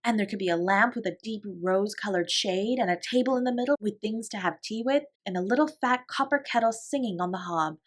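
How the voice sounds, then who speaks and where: A woman reading aloud and voicing a gentle, imaginative young girl who speaks softly and wistfully. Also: mid-range voice, brisk, few pauses, natural voice. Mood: dreamy. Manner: soft, wistful, coaxing.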